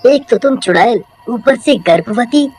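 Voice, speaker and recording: A woman voicing a kind-hearted village man with a gentle, earnest manner, in a cartoon story. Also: a little high, lively intonation, measured pace, husky. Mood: concerned. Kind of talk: Hindi horror cartoon voice acting.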